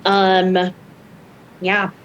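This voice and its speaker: A young woman from Indonesia speaks as a podcast guest in a mid-pitched voice. She talks casually and a bit hesitantly, with a faint rumble behind her. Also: completely flat delivery, very slow, dull audio. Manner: hesitant, many fillers and restarts.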